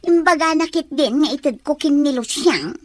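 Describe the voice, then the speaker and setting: Young female schemer, radio serial. High-pitched, petulant, conspiring. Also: expressive, normal speed, dry recording. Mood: complaining. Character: scheming young woman, petulant daughter obeying her mother. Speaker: radio drama actor. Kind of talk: Ilocano radio drama acting.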